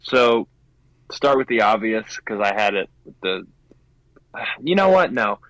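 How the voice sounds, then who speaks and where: A man chatting casually as a podcast panelist, hesitant and deliberating out loud. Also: fairly low pitch, sing-song, measured pace, no echo, low-quality recording. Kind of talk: casual chat.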